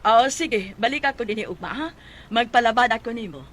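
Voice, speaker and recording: A woman's voice: a little high, fairly quick, a bit bright. A woman playing an older neighbour who hires help for laundry, in a Filipino radio drama with a Cebuano accent. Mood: businesslike.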